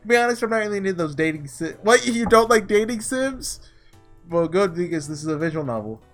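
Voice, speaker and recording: Animated, higher-pitched young-sounding man over music. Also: fairly quick. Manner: animated, excitable, breaks off into asides. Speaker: gaming video creator doing a blind playthrough. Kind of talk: casual let's play, reading story text aloud with commentary. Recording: music behind the voice. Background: game music.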